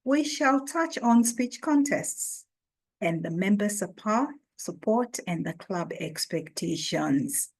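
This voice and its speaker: A woman hosting an online orientation, speaking slowly and deliberately as she welcomes new members. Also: medium pitch, expressive, breathy edge. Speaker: orientation host. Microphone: video call.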